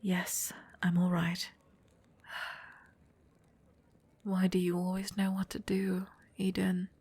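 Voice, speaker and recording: A proud Norse goddess with a passionate temperament voiced by a woman for an ASMR drama. She speaks slowly in a breathy voice, recorded very dry and close. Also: on the low side, monotone, darkish tone, dry recording, exaggerated voice. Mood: calming down. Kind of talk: ASMR roleplay audio drama.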